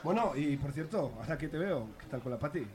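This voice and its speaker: A man playing a grumpy, easily outraged bar owner in a comic radio serial, gruff and exclamatory, with a Spanish accent from Spain. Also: a little high, lively intonation, fast, a little mellow, slight background noise, natural voice. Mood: impatient.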